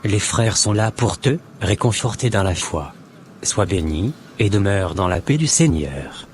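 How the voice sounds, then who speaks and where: A man voicing a church brother who slowly quotes scripture to correct a friend in a cartoon. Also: lowish voice, expressive, a little hiss or hum. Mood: preachy. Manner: slow, preachy, quotes scripture. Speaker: channel co-host.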